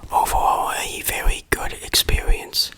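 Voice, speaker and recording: An ASMR host reviewing in a breathy, unvoiced whisper, with a playful, dryly self-mocking manner. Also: a little slow, bright, forceful tone.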